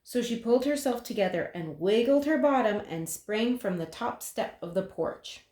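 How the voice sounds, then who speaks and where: A woman reading a children's picture book aloud in an expressive way, with dramatic pauses. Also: fairly high pitch. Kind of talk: children's story read-aloud. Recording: roomy, echoing sound.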